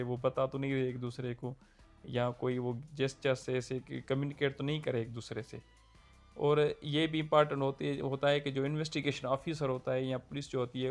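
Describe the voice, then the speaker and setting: A man with a Pakistani Urdu accent explaining legal procedure point by point, pausing with fillers. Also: medium pitch, vocal fry. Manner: steady, numbered points, frequent fillers. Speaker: law lecturer. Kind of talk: explanatory lecture.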